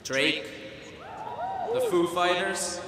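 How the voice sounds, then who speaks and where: A young man giving a humorous student commencement speech at a podium, wry and self-deprecating, with pauses for laughs. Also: high-pitched, huge pitch swings, noisy background. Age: young adult. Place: large auditorium stage at a podium. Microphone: podium microphone. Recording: echoey hall through a PA system. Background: audience laughter and hall ambience.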